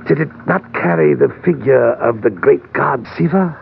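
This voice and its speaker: An actor in an old radio drama playing a fat, pompous, eager gentleman treasure hunter who speaks in flowery, hesitant phrases. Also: medium pitch, animated, normal speed, creaky. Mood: eager, grandiose. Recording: noisy, tinny old radio recording.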